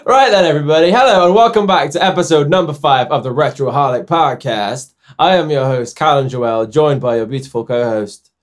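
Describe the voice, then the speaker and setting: A man's voice: fairly high pitch, a little fast. A man hosts a casual comedy podcast with a loose, joking delivery. He laughs at himself, hedges with little apologies, and lets sentences trail off. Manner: joking, self-deprecating, trailing off. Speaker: podcast host.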